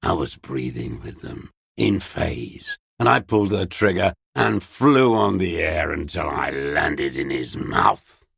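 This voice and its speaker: A man playing a bitter, hateful old communist deserter in a video game, brooding and contemptuous, with a gravelly, raspy voice and halting delivery. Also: very deep voice, expressive, fairly bright tone, no room sound, clean studio sound, heavily acted. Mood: bitter, gloating. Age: older. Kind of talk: video game character monologue.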